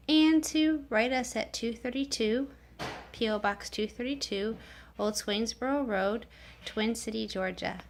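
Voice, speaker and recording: A woman with a fairly high voice reads a story aloud for children at a very unhurried pace. She sounds like a teacher, slipping into casual asides to explain things as she goes. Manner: instructive, explains words in asides.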